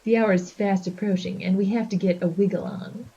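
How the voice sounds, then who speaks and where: A woman's voice: mid-range voice, fairly even intonation, normal speed, mellow, husky, dull audio. A woman playing a menacing, gloating spider monster in flapper guise, theatrical and taunting, in a 1920s gangster-style audio drama. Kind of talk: 1920s gangster slang radio drama acting.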